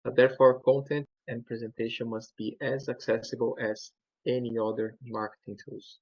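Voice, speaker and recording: A man from Brazil with a Brazilian Portuguese accent, giving a webinar presentation in a halting way with many fillers and restarts. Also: mid-range voice, level tone, no room sound, dull audio.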